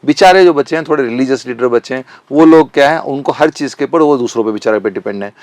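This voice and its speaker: A man's voice: medium pitch, fast, a little mellow, dry recording. Emphatic man, close dry sound. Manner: emphatic, insistent, repeats phrases for stress. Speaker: advocate, interview guest. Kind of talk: impassioned opinion interview.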